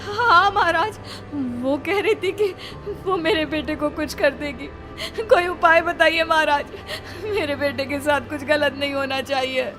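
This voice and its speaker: A woman's voice: high voice, steady tone, a little slow, fairly bright tone, faint music behind, pitched above the performer's own voice. A woman voicing a frightened, pleading mother in a melodramatic horror cartoon. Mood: desperate pleading. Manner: pleading, panicky, tearful. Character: terrified pleading village mother protecting her young son.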